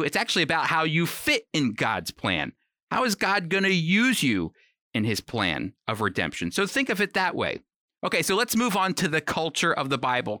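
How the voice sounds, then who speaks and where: A man's voice: fairly high pitch, bright, forceful tone, dry recording, studio quality. A man giving a conversational Bible teaching for a program, speaking emphatically and directly to the listener with rhetorical questions. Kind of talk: conversational teaching sermon, prepared radio talk.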